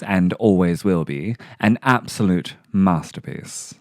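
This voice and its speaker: A man reading scripted narration for a video essay in a theatrical, deliberate, literary manner. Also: on the low side, no room sound, clean studio sound. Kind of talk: scripted narration, dramatic horror-tinged monologue and video essay.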